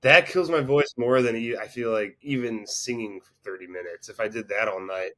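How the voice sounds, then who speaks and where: A man's voice: lowish voice, normal speed, fairly bright tone. A young man, a band's singer, chatting casually in an interview, rambling with lots of fillers and self-deprecating asides. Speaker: band singer, interview guest.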